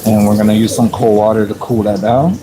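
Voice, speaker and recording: A fairly low-pitched man with a raspy edge talks viewers through his prep in a work kitchen. He keeps it loose and conversational, with frequent ums and throat clearing. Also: steady tone, a little fast, low-quality recording. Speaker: chef livestreaming from work. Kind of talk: casual live-stream narration.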